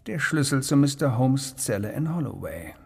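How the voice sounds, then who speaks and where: An actor playing a cold, cultured criminal mastermind in a radio drama, speaking with pompous, deliberate precision and calm menace. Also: male voice, mid-range voice, creaky, husky, long reverb tail, full character voice. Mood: lofty, controlled.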